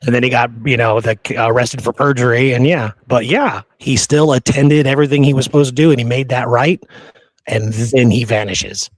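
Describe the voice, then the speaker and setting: A man talks as a podcast host going over history in an earnest, conversational way. He has a mid-pitched voice and leans on repeated phrases for emphasis. The sound is close and dry. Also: fairly even intonation, fairly quick, dry recording.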